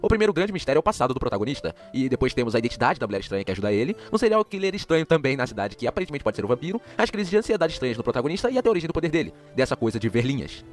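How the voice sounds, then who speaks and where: A man's voice: mid-range voice, sing-song, rapid-fire, hardly any pauses. A Brazilian man reading scripted video narration with slangy asides. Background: background music.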